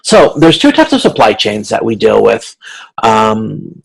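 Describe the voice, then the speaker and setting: A man teaching as a college instructor in an informal recorded lecture, explaining in a halting way and correcting himself as he goes. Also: lowish voice, wildly swooping pitch, creaky, slightly rough, low-quality recording.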